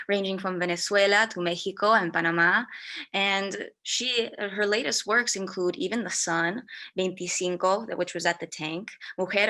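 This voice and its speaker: Bright-voiced woman hosting an interview. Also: mid-range voice. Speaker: interview host. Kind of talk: interview questions and scripted guest introduction.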